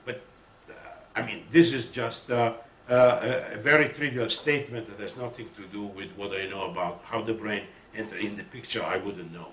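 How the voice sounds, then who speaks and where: A man's voice: lowish voice, a little fast, fairly bright tone, slight background noise. A man speaking as a research scientist on a panel, answering off the cuff with careful, hesitant phrasing. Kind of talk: unscripted academic answer to questions. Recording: echoey hall, distant microphone.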